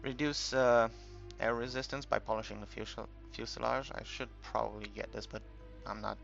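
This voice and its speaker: A man giving casual, unscripted game commentary in a halting, rambling way, as if thinking aloud while he explains things. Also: medium pitch, steady tone, gravelly, a bit bright. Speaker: gaming video creator narrating a tutorial. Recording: dull lo-fi home recording, music behind.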